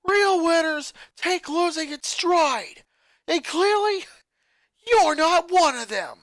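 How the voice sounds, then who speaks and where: A very high, lively boy's voice, an eleven-year-old fed up with his sisters. He rants and accuses, his voice rising into shouts. Dry, close sound with no room echo. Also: slow pace, exaggerated voice. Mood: furious. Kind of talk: fanfic reading.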